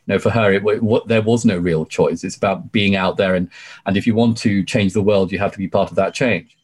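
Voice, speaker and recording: A British man in his forties talks as an interview guest in a fairly low voice. He is talkative and emphatic, telling his own story conversationally and often dropping in "you know". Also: steady tone, a little fast, a little mellow, breathy edge. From UK, born in Sri Lanka. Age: 40s. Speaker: podcast guest, barrister.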